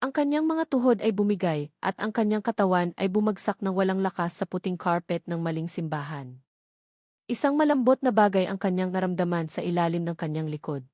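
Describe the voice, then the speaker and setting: A woman's voice: on the low side, lively intonation, fairly quick, darkish tone, dry recording, clean studio sound. A woman with a Filipino accent reading a scripted love story as a dramatic audiobook narrator.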